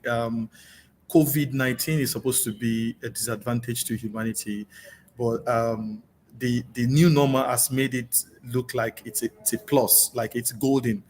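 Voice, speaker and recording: A man's voice: medium pitch, steady tone, moderate pace, slight background noise. A Nigerian man answering interview questions about his work, politely thanking the host again and again. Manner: polite, repeats "thank you so much". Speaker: job seeker guest.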